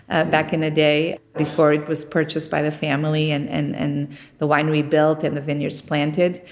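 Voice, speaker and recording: Woman with an even, creaky, lowish voice. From Portugal. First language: Portuguese. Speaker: winemaker being interviewed. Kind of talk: conversational interview about own work.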